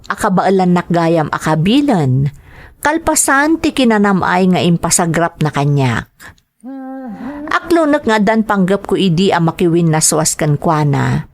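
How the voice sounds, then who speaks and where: A woman playing a meek, fearful young woman under an abusive lover's thumb in an Ilocano radio drama, pleading and stammering. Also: fairly low pitch.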